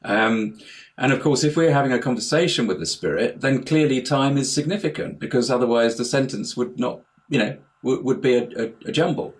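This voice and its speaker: A man's voice: mid-range voice, fairly quick. A man talking as an interview guest over an online call, explaining his ideas in a hesitant, digressive way, often repeating words. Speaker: interview guest, house healer and life coach.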